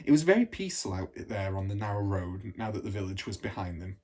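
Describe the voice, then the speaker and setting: Husky, expressive male storybook reader. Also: lowish voice, fast talker. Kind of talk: expressive scripted narration for children.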